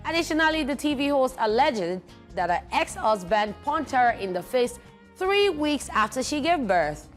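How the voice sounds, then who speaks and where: A woman from Nigeria co-hosting an entertainment show, reading celebrity news briskly with lively emphasis. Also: high-pitched, soft background music.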